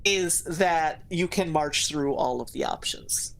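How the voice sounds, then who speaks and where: A woman co-host chatting casually about a game, thinking aloud in a halting way. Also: low voice. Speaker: gaming co-host. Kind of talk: casual chat reviewing a game.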